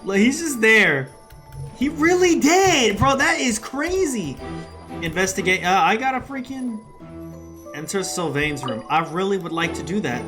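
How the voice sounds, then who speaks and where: A gamer playing and reacting in a casual, slangy, animated commentary, swearing and reading dialogue aloud. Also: mid-range voice, unhurried. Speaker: gaming streamer playing and reacting. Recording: game music behind the voice.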